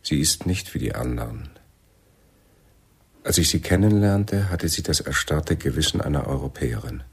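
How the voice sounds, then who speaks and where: An actor playing a cold militant leader in a radio thriller, speaking tersely and with control. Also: male voice, a bass voice, animated, moderate pace, slightly rough, full character voice. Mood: grave, reflective. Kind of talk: radio drama acting.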